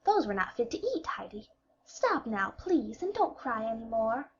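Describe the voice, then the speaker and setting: A woman's voice: fairly high pitch, sing-song, moderate pace, highs cut off, a little hiss or hum. A woman voicing a kind young girl who coaxes and soothes a friend in an audiobook. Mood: soothing. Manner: soothing, coaxing.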